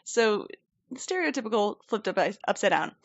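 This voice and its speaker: A woman's voice: mid-range voice, sing-song, moderate pace, no echo, lo-fi sound. A woman co-hosting a podcast, telling a story in a chatty, rambling way and laughing often. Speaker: podcast co-host. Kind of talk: casual storytelling chat. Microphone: desk microphone.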